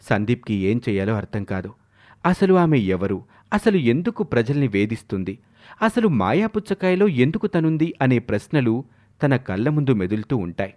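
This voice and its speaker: Man narrating a tale, medium pitch. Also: sing-song, normal speed, a little mellow. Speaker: story narrator. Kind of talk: scripted dramatic moral-story narration.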